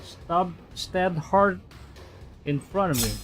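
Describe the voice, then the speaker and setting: Slow-paced man streaming a game. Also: high voice, loud music behind the voice. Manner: excitable, muttering and repeating phrases to himself. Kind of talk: live game commentary mixed with reading visual novel text aloud.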